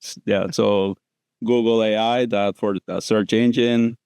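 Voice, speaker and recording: A man's voice: on the low side, steady tone, a little slow, darkish tone, smooth, no echo. A man from Costa Rica, a dentist guest on a podcast, explaining things casually with loose grammar and many fillers. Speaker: guest prosthodontist.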